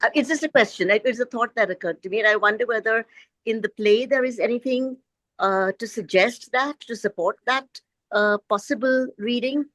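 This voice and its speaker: A woman's voice: fairly high pitch, moderate pace, fairly bright tone, no room sound, rough recording. A woman offering reflective remarks in a discussion, speaking politely and tentatively, as if thinking a question through. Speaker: book club participant. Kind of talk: thoughtful discussion commentary.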